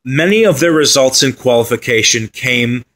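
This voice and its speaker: A man's voice: mid-range voice, animated, normal speed, a bit bright. Casual man previewing a football side. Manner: conversational, with fillers and asides. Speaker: football pundit. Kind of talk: solo commentary on team previews.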